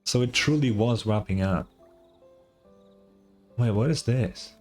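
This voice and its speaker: A man's voice: mid-range voice, takes it slowly, soft background music. A man giving casual, blunt, sweary reaction commentary as a game streamer.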